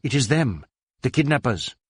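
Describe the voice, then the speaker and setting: A man's voice: medium pitch, sing-song, moderate pace, breathy edge, no echo, studio quality. A man voicing an alert, earnest Indian police inspector in a detective story, speaking abruptly and urgently. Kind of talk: dramatized detective audiobook dialogue.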